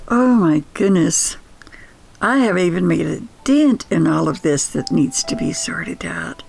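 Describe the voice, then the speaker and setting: An older woman with a strongly gravelly, creaky voice tells personal memories slowly. Her delivery is reflective and nostalgic, like scripted storytelling, with faint music behind her. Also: fairly low pitch, expressive, darkish tone, low-quality recording. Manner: reflective, unhurried. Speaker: podcast storyteller.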